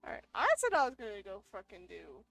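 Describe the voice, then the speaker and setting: A woman's voice: mid-range voice, big pitch swings, fast talker, gravelly, fairly bright tone, faint music behind. A woman giving casual gameplay commentary, informally thinking aloud as she plays. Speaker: gamer playing a role-playing game for viewers.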